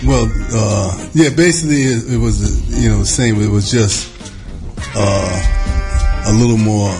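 An older man from New York with a low voice, answering slowly and hesitantly with many fillers. Hip hop music plays clearly underneath. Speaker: guest. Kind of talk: casual interview answers.

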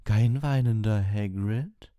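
A man voicing a dreamy, airy older female divination teacher in an audiobook, with a gentle, cooing, coaxing manner. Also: on the low side, slow, no room sound, studio quality, pitched above the performer's own voice. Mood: eager, probing.

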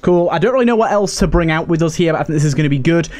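A man with a fairly high voice hosts a let's play. He talks casually and chattily, thinking aloud through his choices as he goes. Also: expressive, racing, a little mellow, soft background music. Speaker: gaming host.

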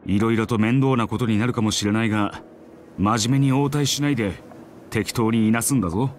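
Actor as a brisk, easygoing military squad captain. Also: male voice, fairly low pitch, a little hiss or hum, close to an everyday voice. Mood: brisk, instructing. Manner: brisk, casual, commanding. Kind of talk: Japanese anime game voice acting.

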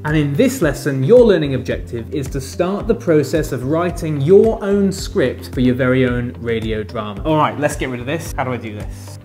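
A man in his thirties teaching a drama lesson to camera in an animated, playful way, full of rhetorical questions. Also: mid-range voice, normal speed, hardly any pauses, soft background music. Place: living room with brick fireplace and piano, indoors.

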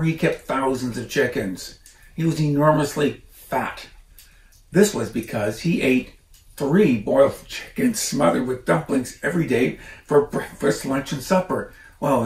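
An older man reads a children's book aloud in a casual, unhurried way, breaking off for chatty asides. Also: medium pitch. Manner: informal, unhurried, commenting as he reads. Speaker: storyteller reading a children's book. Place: living room by a stone fireplace. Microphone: camera a few feet away. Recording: roomy, distant mic, faint noise.

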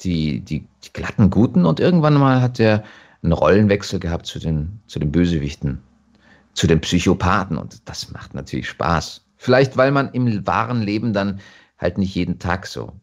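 A man chatting casually as an actor being interviewed, telling stories in an animated way. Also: low-pitched, normal speed, lo-fi sound.

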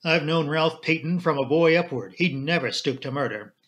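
A man as a breezy, gentlemanly English colonel, raised pitch. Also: lively intonation, moderate pace. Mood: warmly loyal. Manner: affable, gentlemanly, official. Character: courteous, breezy English colonel, the county chief constable. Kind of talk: audiobook character dialogue.

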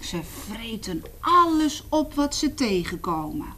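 A woman voicing a small lost boy in a read-aloud picture book, higher and urgent. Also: sing-song, slow pace, sharp tone, exaggerated voice. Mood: earnest.

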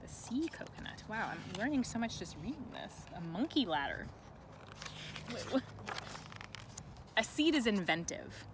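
Woman reading aloud outdoors, noisy background. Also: medium pitch. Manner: expressive, deliberate reading for children. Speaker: educator reading a picture book aloud to children. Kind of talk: read-aloud with chatty asides. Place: outdoors in a sunny farm garden. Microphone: camera held by another person nearby. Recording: outdoor ambient noise.

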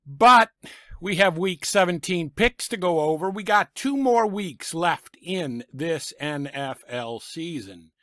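Slow-talking raspy man with a cough. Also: fairly high pitch, animated, sharp tone, dry recording, clean studio sound. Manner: blunt, sarcastic about teams and coaches. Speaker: sports picks vlogger. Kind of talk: casual football picks commentary. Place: small home room full of sports memorabilia. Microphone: desk microphone in front of him.

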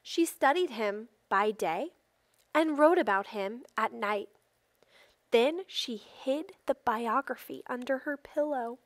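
A woman reading a children's picture book aloud expressively, with deliberate phrasing and emphatic stresses. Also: on the high side, animated, dry recording. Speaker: storybook reader.

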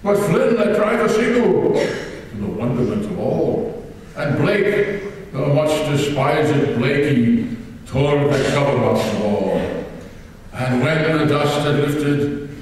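A man's voice: takes it slowly, far from the mic, a little hiss or hum. Older man reciting a poem in an echoey hall. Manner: reads from the page with swelling emphasis and lively shifts of pitch. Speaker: teacher reading a poem aloud. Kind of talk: dramatic poetry recitation. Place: large hall, on a stage. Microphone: lectern microphone heard from a distant camera.

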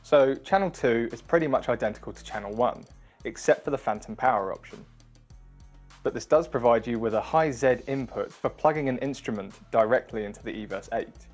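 Man, thirties, mid-pitched, scripted product demo, instructional delivery, background music. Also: a little fast, darkish tone. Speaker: product demonstration presenter. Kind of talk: scripted product review narration. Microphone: wireless lavalier or close studio mic.

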